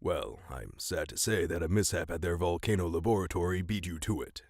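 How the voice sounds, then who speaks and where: A man's voice: deep voice, a little fast, a little mellow, no room sound, studio quality. A man playing an arrogant, gleefully evil ten-year-old boy genius in a comic audio drama, with a pompous manner. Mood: dry, unbothered. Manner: pompous, coolly villainous.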